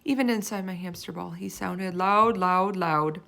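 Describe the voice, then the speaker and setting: A woman reading a children's chapter book aloud in expressive narration. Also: lowish voice, a little slow, creaky. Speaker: storybook reader.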